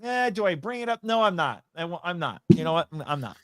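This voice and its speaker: Clear, higher-pitched man chatting animatedly. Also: big pitch swings, brisk, a bit bright, no echo, clean studio sound. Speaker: guest, audio drama writer and voice actor. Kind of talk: casual fan chat.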